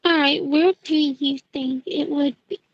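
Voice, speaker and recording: A woman acts a stubborn schoolgirl witch, high in pitch and insistently bossy. The amateur audio play recording is dull and lo-fi, with very little room sound. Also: fairly even intonation, unhurried, no echo. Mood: matter-of-fact. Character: Jen, bossy, stubborn schoolgirl witch, fussing over her friend. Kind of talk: amateur audio drama acting.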